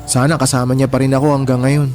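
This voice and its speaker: A man's voice: medium pitch, level tone, quick pace, darkish tone, faint music behind, close to an everyday voice. A young man playing a sensitive, affectionate younger brother in an emotional radio drama, speaking in a boyish, earnest way. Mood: worried, guilty. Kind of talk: radio drama acting in Tagalog.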